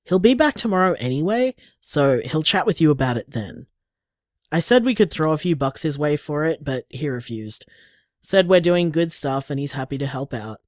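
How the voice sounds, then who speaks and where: An actor plays a devoted partner in an audio drama, leaving intimate voicemails in a mid-pitched voice. The delivery is candid and conversational, with lively swings of pitch, recorded close and dry. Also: normal speed, dry recording, natural voice. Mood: affectionate, relaxed. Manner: candid, tender, conversational, sighing, mild swearing. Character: affectionate, emotional partner leaving voicemails for lover.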